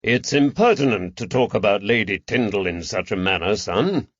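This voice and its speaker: A man as a measured, patient detective. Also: on the low side, animated, dry recording, lo-fi sound. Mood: stern, amused. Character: patient, careful male detective questioning a child.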